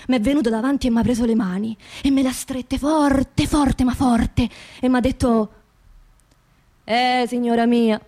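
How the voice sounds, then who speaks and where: An actress playing an angry, grieving working-class widow in a radio monologue, speaking colloquial Roman dialect in a confiding, impassioned way with repeated phrases. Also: female voice, high-pitched, lightly acted. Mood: subdued, bitter, then indignant.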